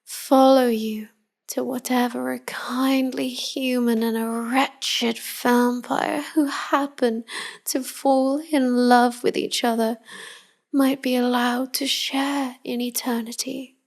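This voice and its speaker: A woman performs as a wistful, devoted vampire wife in an intimate ASMR roleplay. Her voice is high for a woman yet soft and half-whispered, with a creaky edge. She murmurs very slowly and gently, full of tender melancholy. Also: little pitch movement, exaggerated voice. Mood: somber longing. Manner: slow, gentle, murmured endearments.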